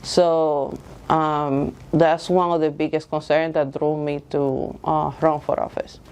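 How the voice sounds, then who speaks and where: A middle-aged woman from the Dominican Republic with a Dominican Spanish accent, explaining her case as a candidate in an earnest, halting way. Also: low voice, little pitch movement, background noise. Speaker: school board candidate. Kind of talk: candidate interview, semi-prepared pitch.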